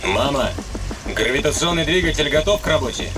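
An actor playing a spaceship captain in an audio drama, briskly giving commanding orders. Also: male voice, a little high, lively intonation, noisy background, lightly acted.

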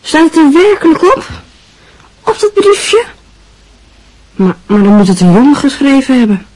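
A woman's voice: high-pitched, big pitch swings, unhurried, darkish tone. A woman doing a pushy disbelieving teen boy. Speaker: actor in a radio play. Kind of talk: radio drama acting.

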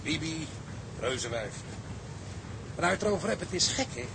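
An actor playing a cheerful, boastful, rough tugboat sailor in a Dutch period drama, talking in a jovial, colloquial way. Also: male voice, on the high side, animated, a little fast, noisy background.

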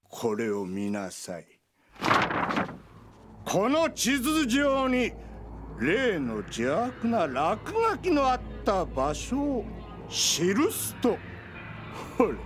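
An eccentric old feng shui master, speaking in a high, wildly swooping voice at an unhurried pace. His speech is archaic and self-important, and faint music plays beneath him in this radio drama. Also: exaggerated voice. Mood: lecturing. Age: older. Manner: old-fashioned pompous speech, lively swooping pitch.

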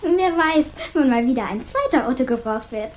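A woman's voice: high voice, expressive, normal speed. A woman playing a smart, teasing young girl in a radio play. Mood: pert, curious. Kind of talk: German radio play acting.

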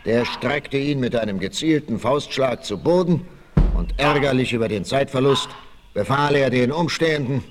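A man's voice: medium pitch, lively intonation, normal speed, lightly acted. A man narrating a 1960s German radio play in dramatic scripted storytelling. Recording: 1968 vinyl record, faint noise.

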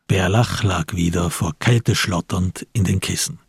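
An actor as the grave narrator of a crime radio play, reading scripted prose in a gravelly, husky voice. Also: male voice, deep voice, unhurried, dry recording, studio quality, full character voice.

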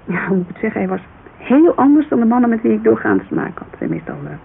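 Radio drama part: Dutch woman, thirties, guarded and wistful, gravelly fry in the voice, hissy narrow-band recording. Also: a little high, wildly swooping pitch, racing, heavily acted. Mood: reflective, candid. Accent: Dutch, Netherlands. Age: 30s. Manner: hesitant, colloquial, searching for words. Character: thirty-three-year-old Amsterdam model agency owner, guarded, wistful, candid. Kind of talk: naturalistic radio drama dialogue.

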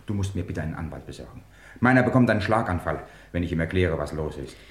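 A man's voice: low voice, lively intonation, fast talker, slightly rough. An actor playing an accused man in a radio crime drama, urgent, sardonic and exasperated. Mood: troubled, bitter.

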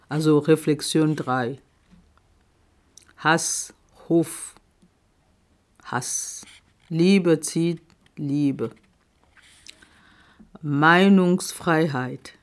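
Woman reading her own poems, super slow, lowish voice, pauses between every little phrase, home-made recording. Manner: slow, deliberate recitation with long pauses between short phrases. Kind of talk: spoken-word poetry reading. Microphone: self-recorded audio.

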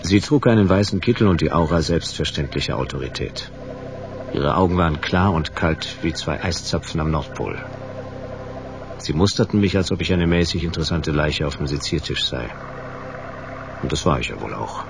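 Extremely deep, laconic noir detective. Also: male voice, normal speed, creaky, background noise. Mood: deadpan, resigned. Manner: laconic, deadpan. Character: world-weary, hard-boiled male detective narrating his own case. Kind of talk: noir first-person radio drama narration. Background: music.

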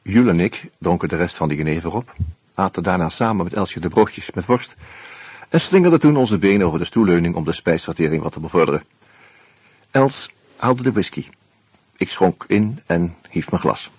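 Wry storyteller in dutch radio play, really husky low voice, dry and close. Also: male voice, animated, moderate pace, darkish tone, no room sound. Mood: wry, matter-of-fact. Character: wry male first-person narrator, fond of a drink. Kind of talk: scripted radio drama narration.

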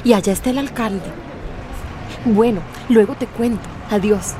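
A woman's voice: on the high side, unhurried, background noise, close to an everyday voice. A woman playing a gossipy, bossy, scandalized townswoman in a radio drama, emphatic and demanding. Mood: gossipy, alarmed. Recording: music behind the voice.

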